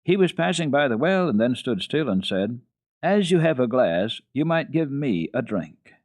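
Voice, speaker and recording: Man voicing an eager little storybook girl, lifted pitch. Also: very animated, moderate pace, a little mellow, no echo, clean studio sound. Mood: eager, earnest. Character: cheerful eager young girl, earnest and chatty. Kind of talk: dramatic children's storybook reading.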